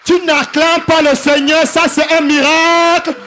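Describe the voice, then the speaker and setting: A male pastor with a very high-pitched voice leads a testimony session. He exhorts in a commanding way and repeats short phrases insistently, with clear music playing behind him. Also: level tone, measured pace.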